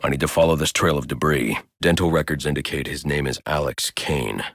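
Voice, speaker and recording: An actor voicing a grim, brooding masked vigilante detective in a video game, speaking in a terse, stern, deadpan way. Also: male voice, a bass voice, brisk, dry recording, studio quality. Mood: analytical, deadpan. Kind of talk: scripted video game voice lines.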